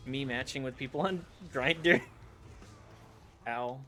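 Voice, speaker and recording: A man streaming a video game, chatting casually in a rambling, self-deprecating way with animated asides. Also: mid-range voice, slow. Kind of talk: casual chat while commenting on gameplay. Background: game music.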